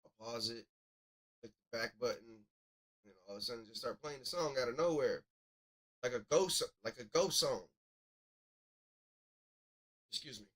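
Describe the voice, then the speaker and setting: A man's voice: mid-range voice, moderate pace, pauses often, a bit bright. A man chatting casually as he hosts a live music review stream, with a blunt, slangy, complaining way of talking.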